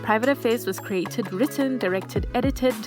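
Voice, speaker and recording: A woman's voice: a little high, moderate pace. A woman, the show's creator, delivering a scripted podcast outro with credits and a request to listeners. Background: music under the voice.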